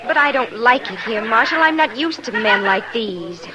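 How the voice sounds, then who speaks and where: Timid, high-voiced frontier girl played by a woman. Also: expressive, moderate pace, a crowd in the background, close to an everyday voice, poor audio. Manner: meek, hesitant. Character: timid, frightened seventeen-year-old frontier girl. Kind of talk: 1950s western radio drama acting.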